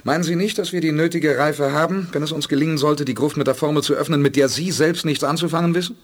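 A man playing a determined, persuasive space commander in a radio play, insistent, with a husky voice. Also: a little high, fairly even intonation, quick pace, lightly acted.

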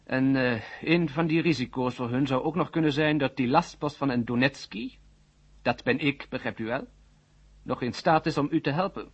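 A man's voice: mid-range voice, normal speed, husky, close to an everyday voice. An actor in a Dutch radio drama playing a wary, calculating male scientist who talks in a hesitant, polite way. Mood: wary, explaining. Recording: muffled old radio recording.

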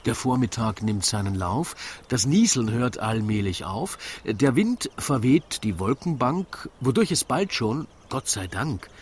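A man with an Austrian accent narrates a scripted radio play in rhyming verse, in a wry, deliberate, theatrical way. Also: medium pitch, sing-song, husky.

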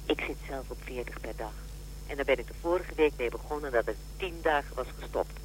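An actress playing a brisk, matter-of-fact and drily blunt helpline operator in a radio drama. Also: female voice, a bass voice, a little hiss or hum, low-quality recording. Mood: knowing.

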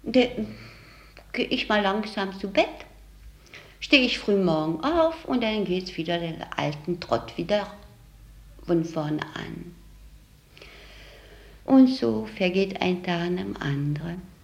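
A woman's voice: fairly low pitch, animated, slow, vocal fry, pauses often, darkish tone. A saleswoman giving a casual interview account of everyday life, recorded with a roomy sound. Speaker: department store saleswoman interviewee.

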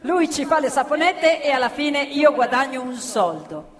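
A woman's voice: sits high, sing-song, normal speed. An actress playing a poor, cheeky young working-class girl on stage, blunt and teasing. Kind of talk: stage theatre acting. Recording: live stage recording in a reverberant theatre with violin.

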